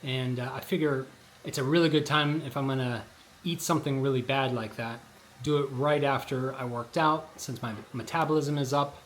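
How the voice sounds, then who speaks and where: Hoarse man chatting loosely. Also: medium pitch, fairly even intonation, normal speed, a bit bright. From Colorado. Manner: rambling, with many fillers and restarts. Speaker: vlogger. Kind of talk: casual vlog chat. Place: outdoors at a shopping district in light rain. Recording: noisy outdoor recording.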